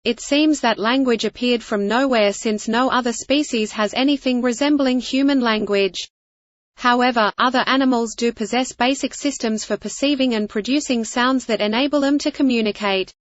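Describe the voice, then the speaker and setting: A woman's voice: on the high side, moderate pace, dry recording. A woman reading a scripted practice passage aloud in a dull, lo-fi recording. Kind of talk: scripted read-aloud of exam practice text. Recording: dull lo-fi sound.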